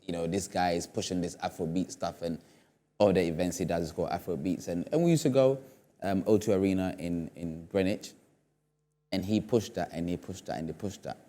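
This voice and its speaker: A man's voice: low voice, brisk, warm tone. A man speaking as a studio guest on a discussion show, earnest and emphatic, often repeating phrases for effect. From Ghana. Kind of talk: panel discussion.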